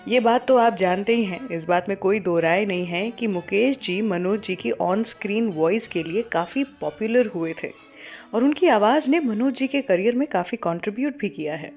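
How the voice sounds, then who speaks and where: A woman's voice: medium pitch, normal speed, a little mellow. A woman presenting a music radio show, chatting conversationally and speaking directly to her listeners.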